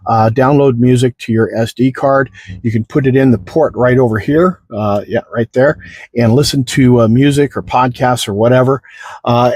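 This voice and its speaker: A man's voice: mid-range voice, darkish tone, dry recording. A middle-aged American man giving a casual, unscripted product demonstration in a folksy, rambling way. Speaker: product reviewer.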